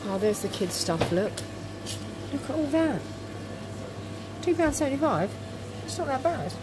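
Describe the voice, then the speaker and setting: A woman's voice: high-pitched, sing-song, unhurried, noisy background. A woman filming a casual tour of a farm shop on her phone, talking enthusiastically and exclaiming at what she finds. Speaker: vlogger touring a farm shop. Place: large indoor farm shop. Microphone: handheld phone camera. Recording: loud background music.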